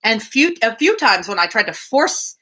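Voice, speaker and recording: A woman reads a classic novel aloud with a bright, crisp edge to her voice. Her pitch moves in a lively, expressive way, and she drops easily into informal, chatty commentary as host of the reading. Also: normal speed. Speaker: host of a live book reading.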